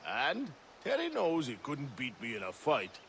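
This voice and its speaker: A competitive, teasing colonial villager in a game cutscene. His cocky voice swings wildly up and down, with clear outdoor noise behind it. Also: mid-range voice, wildly swooping pitch, a little slow, a bit bright, exaggerated voice. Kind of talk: video game cutscene acting.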